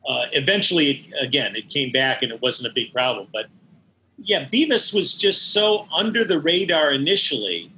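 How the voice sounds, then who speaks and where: A middle-aged or older man, an animator being interviewed, explaining things in a measured, hesitant way. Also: on the high side, bright, forceful tone, a little hiss or hum, rough recording. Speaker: animator guest. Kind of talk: reflective interview conversation.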